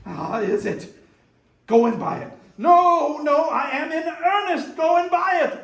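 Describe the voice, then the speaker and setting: A man playing an old reformed miser, excitable and theatrical in a readers theater performance. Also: very high voice, a little slow, full character voice. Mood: giddy delight. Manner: excitable, full of chuckles, theatrical. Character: old Scrooge, male miser newly reborn, giddy and generous. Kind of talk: readers theater stage reading. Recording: echoey room.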